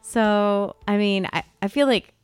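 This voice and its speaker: A woman co-hosting a podcast, chatting casually and comedically in an animated, expressive way. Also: medium pitch, unhurried, clean tone, no echo, faint music behind. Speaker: podcast co-host.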